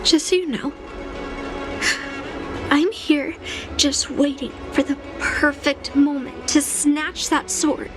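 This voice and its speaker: A woman's voice: high voice, steady tone, takes it slowly, pauses often. A woman playing a headstrong, stubborn young girl swordsmith in a video game, blunt and determined in manner. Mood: defiant, impatient. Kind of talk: video game character dialogue. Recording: game music behind the voice.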